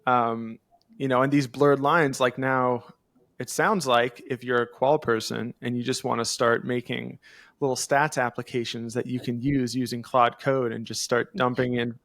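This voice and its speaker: A man with a gravelly, creaky voice and average pitch hosts an interview. He speaks in a casual, rambling manner, recorded close and dry with very little room sound. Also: steady tone, normal speed, a bit bright, dry recording. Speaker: podcast interviewer, host. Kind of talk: casual conversational commentary.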